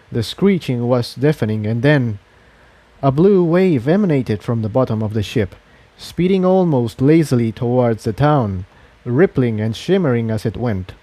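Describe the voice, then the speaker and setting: A male narrator reads adventure fiction in a dramatic audiobook style. His voice is mellow and mid-pitched, with animated intonation. The sound is dry and close. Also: dry recording.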